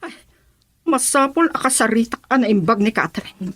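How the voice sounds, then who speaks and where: A woman's voice: fairly high pitch, animated, moderate pace, no room sound. A woman playing a stern, suspicious, domineering middle-aged mother in an Ilocano radio drama, with an insistent, scolding manner. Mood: firm, brooding.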